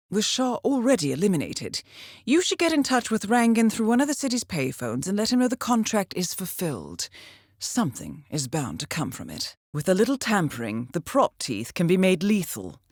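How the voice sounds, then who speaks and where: A woman playing a cool, composed intelligence handler in a video game, giving mission briefings in a measured, formal, dryly witty manner. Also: mid-range voice, no echo, clean studio sound. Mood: measured, informative, then dryly wry.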